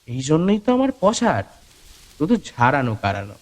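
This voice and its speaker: An actor playing a proud, chatty older village healer in a Bengali audio story, speaking colloquial rural dialect with emphatic, boastful assurance. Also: male voice, a little high, wildly swooping pitch, normal speed. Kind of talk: audio story character dialogue.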